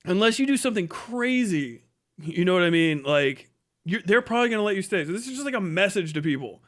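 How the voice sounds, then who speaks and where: A man's voice: high-pitched, animated, fairly quick. A man giving casual livestream commentary in a blunt, profane, rambling way. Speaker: livestream host.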